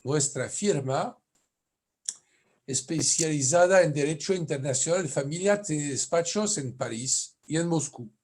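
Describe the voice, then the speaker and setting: A man from France with a French accent, whose first language is French, giving a conference lecture as a family lawyer in careful, halting speech with self-corrections. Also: a little high, lively intonation, a little mellow, husky.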